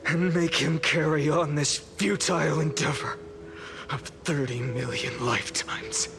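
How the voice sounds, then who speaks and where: An actor playing an anguished, grimly resolute young male hero in a video game, halting and intense, with a breathy, gravelly undertone. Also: fairly high pitch, fairly even intonation. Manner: halting, intense, heavy delivery. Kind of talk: dramatic video game voice acting. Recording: studio voice over loud music.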